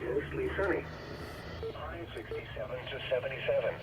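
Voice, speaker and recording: A synthetic man's voice comes through a weather radio with clear background noise. He reads official alerts and forecasts at a medium pitch, formal and measured, item by item. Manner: formal, measured reading of lists. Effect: synthetic voice over weather radio. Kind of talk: scripted public alert and forecast broadcast. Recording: noisy radio speaker picked up in room.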